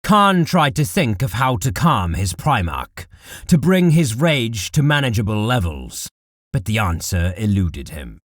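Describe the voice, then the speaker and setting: Slow, dramatic male narrator, lively pitch. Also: no room sound, studio quality. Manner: deliberate, dramatic. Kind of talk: dramatic scripted audiobook narration of dark war fiction.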